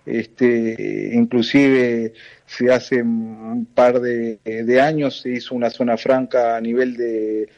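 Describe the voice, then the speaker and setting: A man's voice: medium pitch, a little mellow, highs cut off. A Uruguayan man with a Rioplatense Spanish accent, a transport company director explaining things as an interview guest, hesitant, with many fillers and restarts. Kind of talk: interview guest, phone or remote call.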